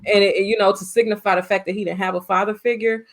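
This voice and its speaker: A woman's voice: medium pitch, steady tone, fast. An American woman co-hosting a film review, chatting casually in a blunt, colloquial way. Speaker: podcast co-host reviewing films. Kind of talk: casual chat, film discussion.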